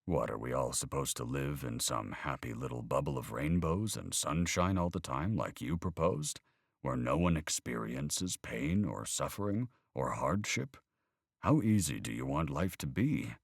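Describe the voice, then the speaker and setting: A man reading an audiobook, voicing a weary older man who talks in a measured, reflective way. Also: extremely low pitch, lively intonation, creaky, dry recording, clean studio sound, exaggerated voice. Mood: challenging. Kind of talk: audiobook character voice.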